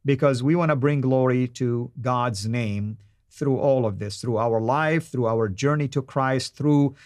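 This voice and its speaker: A man's voice: medium pitch, measured pace, a little mellow, dry recording. A man from Saudi Arabia whose first language is Arabic, hosting a live stream from a studio and speaking earnestly to his viewers with repeated, emphatic phrases.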